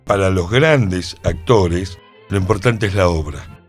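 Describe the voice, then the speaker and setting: A man with an Argentine accent reflecting in a radio conversation, measured, pausing between phrases. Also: low voice, slightly rough, faint music behind. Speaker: interview participant. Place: radio studio.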